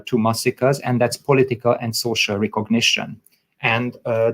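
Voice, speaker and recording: Man, Hungarian native speaker, lecturer, academic talk, hesitant, frequent fillers. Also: medium pitch. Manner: hesitant, many filler sounds.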